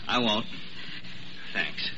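An actor playing a sheepish, earnest young farm lad in 1940s radio drama style. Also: male voice, very slow. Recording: muffled old radio recording, faint hiss.

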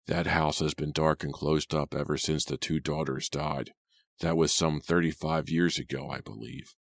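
A man voicing a shriveled, suspicious old cab driver who speaks slowly and quietly. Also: a bass voice, little pitch movement, creaky, dry recording, clean studio sound, heavily acted. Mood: wary.

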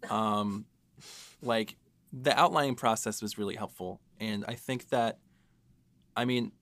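A man's voice: fairly low pitch, a little slow, fairly bright tone, no room sound. A man talking casually as a podcast host and writer, with a self-deprecating, joking manner. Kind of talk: casual panel discussion.

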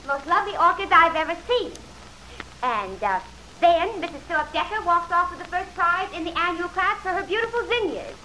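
A woman's voice: very high voice, expressive, a little fast, pushed and bright, exaggerated voice, rough recording. An actress playing a gushing, fluttery society lady making formal announcements in an old-time radio drama. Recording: vintage radio recording with background noise.

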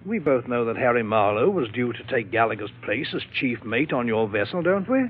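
An actor playing a smug, precise police inspector with a formal, insinuating manner in a classic radio drama. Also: male voice, medium pitch, sing-song, fairly quick, soft background music, natural voice. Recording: dull vintage radio recording.

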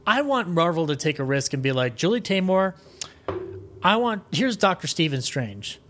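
A man talking as a podcast guest in a casual, enthusiastic way, animated, rambling and opinionated. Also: a little high, moderate pace, slight background noise. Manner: animated, rambling, opinionated, acts out imagined quotes.

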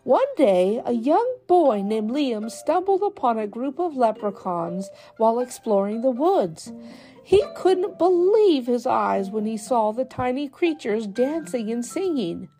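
A woman narrates a children's storybook in a measured, deliberate way. Her voice is fairly high and soft-edged, with some creak at the edges. She takes her time, with quiet music underneath. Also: sing-song. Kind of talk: scripted children's folk tale narration.

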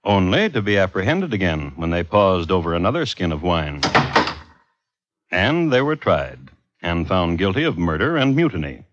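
A man playing a dry, scholarly crime historian, narrating deadpan and precisely in a 1950s radio show. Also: low voice, moderate pace, heavy background noise. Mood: dry, wry. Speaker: storyteller host of a radio crime series. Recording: dull old 1950s radio recording.

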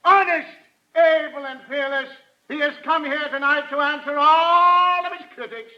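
Very high-voiced rally announcer. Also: male voice, a little slow, bright, forceful tone, exaggerated voice. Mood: grandiose. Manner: florid, declamatory. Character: grandiose political master of ceremonies at a rally. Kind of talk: old-time radio comedy oratory. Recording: muffled vintage radio recording.

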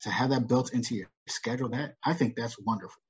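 A man's voice: mid-range voice, quick pace, husky. A man co-hosting a podcast, asking questions in a conversational interview style. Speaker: podcast co-host. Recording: dull, muffled lo-fi audio.

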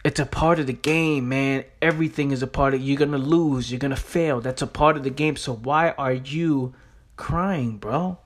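A man's voice: mid-range voice, moderate pace. A young man giving an informal motivational monologue in a blunt, streetwise, emphatic way, punctuated with rhetorical questions and casual swearing. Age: young adult. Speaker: motivational speaker.

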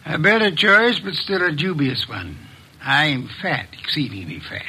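An actor playing a fat, pompous detective in a 1950s radio drama, speaking in calm, measured, formal sentences. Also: male voice, on the high side, slight background noise, full character voice. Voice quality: formal, measured, elaborate diction.

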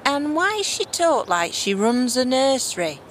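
Sardonic runaway heiress, unhurried. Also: female voice, high-pitched, sing-song, a little hiss or hum. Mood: flippant. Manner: sarcastic, slangy, dry. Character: sardonic runaway heiress, swindler, flippant and resentful. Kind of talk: fantasy audio drama acting.

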